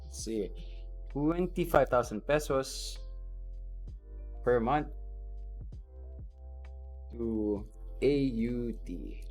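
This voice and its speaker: A Filipino man hosting a podcast with a relaxed, easygoing manner. His voice sits at a middle pitch, and soft guitar music plays faintly under it. He reads chat messages aloud and answers them as he goes. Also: very slow, pauses often. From Philippines. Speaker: podcast host.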